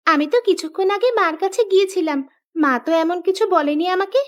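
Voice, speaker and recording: A woman's voice: very high-pitched, fairly quick. A woman voicing a cheeky, sweet young village woman in a cartoon, perky and playful.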